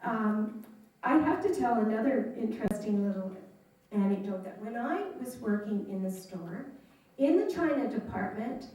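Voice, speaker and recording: A woman's voice: on the high side, expressive, normal speed, dark tone. A woman in her fifties, telling amused, chatty stories as a local history speaker. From Canada. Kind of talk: reminiscing talk to an audience. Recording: echoey room from a distant mic.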